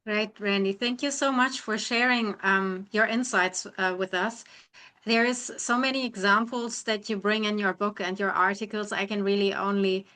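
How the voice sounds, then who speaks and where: A woman's voice: medium pitch, fairly even intonation, moderate pace, sharp tone. A woman hosting an interview in a polite, structured way. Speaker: interviewer, host.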